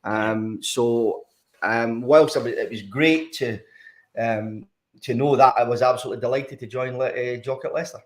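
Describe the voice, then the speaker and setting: Older Glaswegian man, halting and chatty. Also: medium pitch. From Scotland. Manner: hesitant, many fillers and restarts. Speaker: guest, former footballer. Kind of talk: casual interview.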